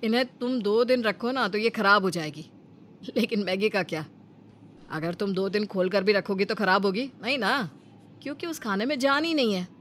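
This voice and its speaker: A woman's voice: medium pitch, normal speed, fairly bright tone, a little hiss or hum, lightly acted. A woman voicing a sensible young husband, patient and explanatory, in a cartoon story. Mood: explaining. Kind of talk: Hindi cartoon story acting.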